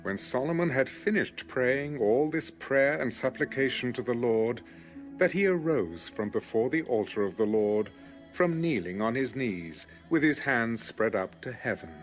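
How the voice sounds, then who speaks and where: A man narrating scripture from a script in a measured, formal way. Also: medium pitch, expressive, soft background music. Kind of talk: scripted audio Bible narration.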